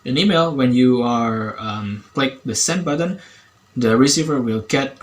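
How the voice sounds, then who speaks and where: A man with an Indonesian accent giving a casual review, speaking haltingly and often rephrasing as he searches for words. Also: medium pitch. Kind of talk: casual app review to camera.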